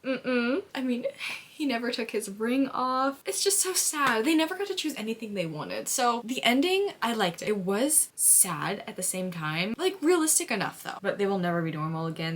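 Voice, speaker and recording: A woman's voice: medium pitch, expressive, normal speed, slight background noise. A woman book vlogger chatting casually and effusively in an informal, emphatic way, with "like" sprinkled through her sentences. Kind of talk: casual chat, emotional book reaction vlog.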